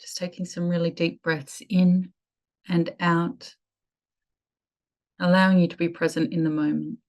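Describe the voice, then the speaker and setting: A woman leading a guided meditation in a soothing, measured way with lingering pauses. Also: on the low side, flat delivery, darkish tone.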